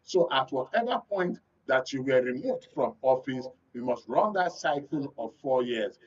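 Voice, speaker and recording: A man with a Nigerian accent hosting a current affairs discussion, explaining in a measured way. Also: mid-range voice, breathy edge, distant mic, highs cut off. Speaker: radio and TV talk show host.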